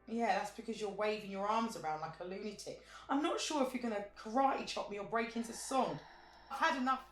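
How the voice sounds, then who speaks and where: A woman's voice: mid-range voice, fairly quick, soft background music, lightly acted. A woman playing a sardonic, exasperated but loyal friend in a naturalistic audio drama, with a dry manner. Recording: roomy, echoing sound.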